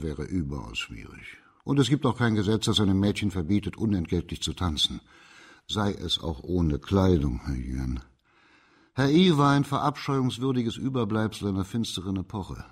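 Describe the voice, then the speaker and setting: An actor playing a stern, dignified district judge in a radio drama, speaking formally and with measured authority. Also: male voice, on the low side.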